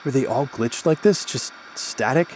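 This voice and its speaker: An actor playing a broken-down radio DJ who talks in tired, clipped lines. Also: male voice, mid-range voice, moderate pace, darkish tone, slight background noise, close to an everyday voice, poor audio. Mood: frustrated. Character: worn-down man, radio DJ, weary. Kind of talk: audio drama acting.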